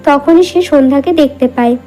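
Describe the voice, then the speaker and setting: A woman's voice: high voice, fast, mellow. A woman reading scripted narration for a cartoon folk tale.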